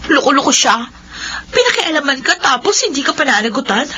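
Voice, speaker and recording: Actress doing bossy blunt young bestie in radio drama, high n very animated, scolding, bit of noise. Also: female voice, brisk, exaggerated voice. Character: blunt, indignant young female best friend. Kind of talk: Filipino radio drama acting.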